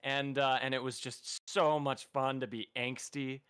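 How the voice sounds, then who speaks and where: A man's voice: mid-range voice, little pitch movement, unhurried, a bit bright, clean tone, no echo, studio quality. A man voice actor talking casually, hesitant and self-deprecating with stumbling starts. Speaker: voice actor on a cast panel. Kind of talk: casual livestream chat.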